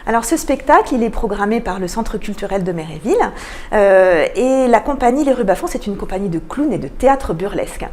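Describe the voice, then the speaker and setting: Woman guest on a radio interview, medium pitch, explaining stuff clearly and keenly. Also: animated, fairly quick, mellow. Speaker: guest, cultural programme manager.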